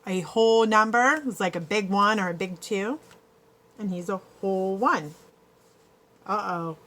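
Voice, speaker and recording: A woman reading a picture book aloud as a schoolteacher, pausing to explain and check that children follow. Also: mid-range voice, a bit bright. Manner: explanatory, checks understanding with tag questions. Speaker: elementary school teacher. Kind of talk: picture-book read-aloud with teaching asides.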